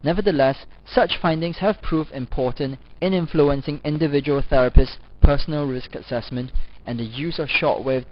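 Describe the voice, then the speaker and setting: A man's voice: mid-range voice, a little hiss or hum. Formal male lecturer, dull lo-fi recording. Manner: formal, measured, read-aloud delivery. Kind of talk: scripted instructional narration.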